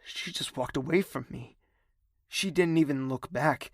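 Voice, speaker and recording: Man acting a brooding gravelly young prince, slow-spoken. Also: on the high side, dry recording, studio quality. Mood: wistful, brooding. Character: brooding, menacing young prince, tender toward his mother. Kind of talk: audio drama acting.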